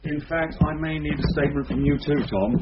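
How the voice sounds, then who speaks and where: An actor playing a formal, persistent police inspector in a radio drama, a British man with an official, measured manner. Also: on the high side, fairly even intonation, muffled recording, over loud music, lightly acted. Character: firm, formal male police detective inspector.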